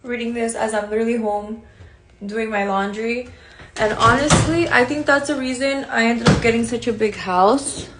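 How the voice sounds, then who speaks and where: A woman's voice: a little high, normal speed, heavy background noise. A woman chatting casually on a live stream, rambling and self-aware. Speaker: streamer answering chat.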